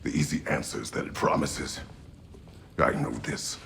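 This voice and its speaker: Game casting: a brooding father-warrior, profoundly low and forceful, terse and commanding. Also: steady tone, a little slow, slight background noise, exaggerated voice. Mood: grave. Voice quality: gravelly, very deep. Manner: terse, weighty. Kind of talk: video game cutscene acting.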